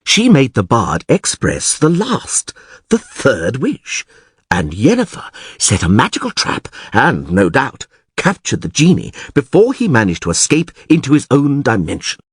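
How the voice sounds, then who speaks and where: A male reader acts out a know-it-all priest from a fantasy tale. He is pedantic and emphatic, with widely swinging pitch on a dry, close recording. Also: wildly swooping pitch, measured pace, husky, no room sound, exaggerated voice. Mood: grave explaining.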